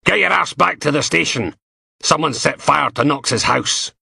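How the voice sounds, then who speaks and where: A man voicing a gruff, foul-mouthed middle-aged woman police inspector, growling and brash. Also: fairly high pitch, moderate pace, pushed and bright, no echo, clean studio sound, voice pushed up, full character voice. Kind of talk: audiobook character voice.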